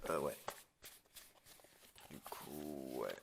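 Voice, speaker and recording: Un homme jouant un réalisateur irritable à la voix grésillante. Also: male voice, a bass voice, drawn-out words, creaky, noisy background, voice pushed down, exaggerated voice. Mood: hesitant, muttering. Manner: hesitant muttering mixed with curt, sarcastic orders. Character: irritable, bossy male film director running a prep meeting. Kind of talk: improvised ASMR roleplay parody.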